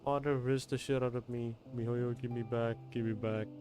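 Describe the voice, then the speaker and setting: A man's voice: mid-range voice, steady tone, normal speed, a little mellow. A man giving casual, off-the-cuff commentary as he livestreams a video game. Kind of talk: casual live gaming commentary. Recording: game music behind the voice.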